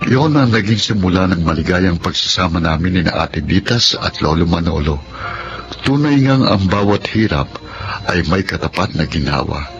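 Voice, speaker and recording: A man's voice: sits low, animated, moderate pace, background noise. A man playing an earnest, sensitive young son in a Filipino radio drama. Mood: reflective. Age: young adult. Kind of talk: Philippine radio drama acting. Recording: muffled lo-fi radio drama, music behind.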